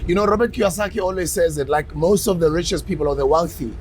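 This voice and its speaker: A South African man in his forties with a slightly high voice, talking in a lively, playful way as he hosts a relaxed chat. A faint hum sits under the recording. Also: quick pace. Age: 40s. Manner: animated, playful, laughs often. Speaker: podcast host. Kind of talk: casual interview chat.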